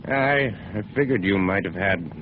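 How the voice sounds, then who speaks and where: An actor playing a smug, menacing small-town boss in an old radio noir drama, talking with hesitant, veiled threats. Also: male voice, low-pitched, animated, normal speed. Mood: sly, probing. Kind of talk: 1950s radio noir acting. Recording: muffled, noisy vintage radio recording.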